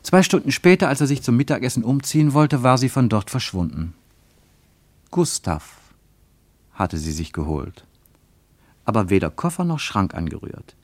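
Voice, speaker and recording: A man's voice: medium pitch, big pitch swings, moderate pace, long pauses, dry recording. A man reading scripted literary narration for a radio play, as its narrator.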